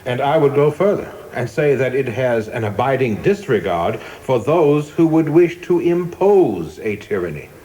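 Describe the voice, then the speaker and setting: An actor playing a coldly zealous young government official in a radio play, speaking formally and insistently with polite deference that cuts. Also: male voice, mid-range voice, animated, a little slow, hardly any pauses, dark tone, natural voice. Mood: insistent, clipped. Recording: clearly noisy background ambience.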